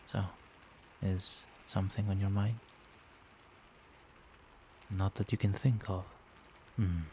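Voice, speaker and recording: A man playing a doting, protective vampire boyfriend in a soft-spoken, soothing and attentive ASMR roleplay. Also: low-pitched, flat delivery, extremely slow, pauses often, slight background noise. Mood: tender, concerned. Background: rain.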